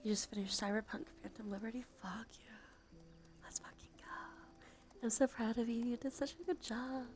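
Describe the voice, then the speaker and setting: A woman streaming soft ASMR chat, often slipping into a whisper, sniffly with allergies and a sore throat, casual and affectionate with some swearing. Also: a little high, fairly even intonation, normal speed. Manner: breathy, frequent drops into whisper. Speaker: livestream host.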